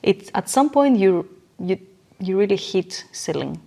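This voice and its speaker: A woman's voice: medium pitch, lively intonation, measured pace, gravelly, warm tone. A woman speaking as a podcast guest in a reflective conversation, explaining her views earnestly. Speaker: podcast guest, business coach.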